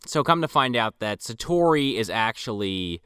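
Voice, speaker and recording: A man's voice: medium pitch, animated, moderate pace, pushed and bright, smooth, dry recording, studio quality. A man co-hosting a movie podcast, recapping scenes in casual, profane, rambling banter. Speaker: podcast co-host.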